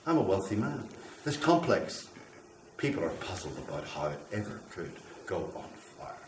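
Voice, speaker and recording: A man's voice: sits low, big pitch swings, moderate pace. An actor playing a volatile, boastful yet lonely man who believes he is fire, in a rambling theatrical monologue that swings between grandiose and apologetic. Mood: boastful, musing. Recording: echoey room, distant mic, faint noise.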